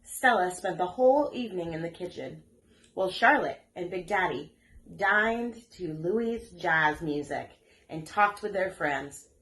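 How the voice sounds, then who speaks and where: A woman reading a children's story aloud as an expressive storyteller. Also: on the low side, a little slow, slight background noise. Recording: echoey room.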